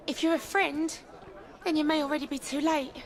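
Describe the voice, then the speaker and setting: A wary, streetwise Victorian working woman for a game. The voice is high, and a faint crowd sits behind it. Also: steady tone, brisk. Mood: urgent, wary. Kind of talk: video game cutscene acting.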